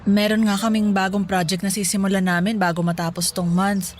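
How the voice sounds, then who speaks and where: A woman's voice: medium pitch, little pitch movement, brisk, music in the background, natural voice. A woman playing a skeptical, teasing young youth leader in a radio drama, casual and bantering. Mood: wry, skeptical. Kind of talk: Filipino radio drama dialogue.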